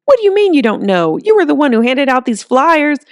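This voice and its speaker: A woman voicing an irate old townsman who grumbles and demands loudly in an audiobook. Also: sits high, sing-song, fairly quick, dry recording, studio quality, pitched above the performer's own voice. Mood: angry demanding.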